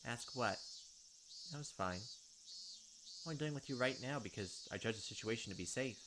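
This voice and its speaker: A man's voice: medium pitch, expressive, a little fast, background noise. Man reading a visual novel aloud. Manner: joking asides. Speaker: let's play narrator. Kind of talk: reading game text aloud with asides.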